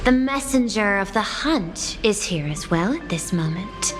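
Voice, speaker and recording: Woman doing a cool logical exec lady, game cutscene, really slow and precise, reverby with music under it. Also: mid-range voice, lively intonation, natural voice. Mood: measured, challenging. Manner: formal, precise, detached. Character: cool, logical, poised female corporate executive. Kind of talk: scripted video game voice acting. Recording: long hall reverb, music behind.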